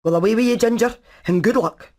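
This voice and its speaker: A woman's voice: a little high, fairly quick, darkish tone, close to an everyday voice. A woman voicing a brainy, practical hen engineer in a video game, with a Scottish accent and a technical, matter-of-fact way of talking. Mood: thoughtful. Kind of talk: animated video game acting.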